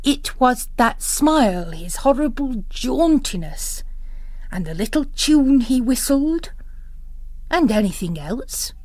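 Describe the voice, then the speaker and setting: A woman's voice: high voice, very animated, slow, darkish tone, dry recording, exaggerated voice. A woman voicing an agitated, world-weary ageing priest who has lost his faith, speaking in rhetorical bursts in a literary radio reading. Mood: conspiratorial, indignant.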